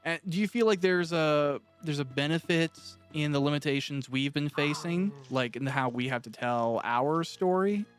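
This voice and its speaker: A man's voice: on the high side, moderate pace, few pauses, clean tone, faint music behind. A man hosting a casual, conversational interview as he chats with his guest. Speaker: interviewer, host of an audiobook cast interview.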